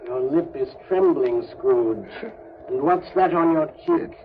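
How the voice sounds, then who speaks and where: A man's voice: mid-range voice, expressive, slow. A man acting a solemn, probing ghost guide in a 1930s radio drama, stately and commanding. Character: solemn, probing ghost guiding an old miser through memories. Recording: tinny, noisy 1930s radio recording.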